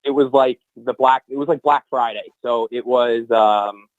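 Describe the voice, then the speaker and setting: A man's voice: medium pitch, fairly even intonation, normal speed, no room sound. Rambling young man on a muffled line. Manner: rambling, many fillers and restarts. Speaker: guest, hockey anthem singer. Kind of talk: casual podcast chat.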